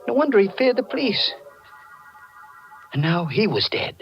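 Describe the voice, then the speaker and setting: A guilt-ridden fugitive in a 1940s radio mystery. His mid-pitched voice is tense and swings dramatically. The old recording is muffled, with music behind. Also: sing-song. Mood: haunted, tense. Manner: tense, dramatic, halting under pressure. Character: anxious, guilt-ridden male fugitive narrating his own nightmare. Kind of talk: 1940s radio mystery acting, first-person narration.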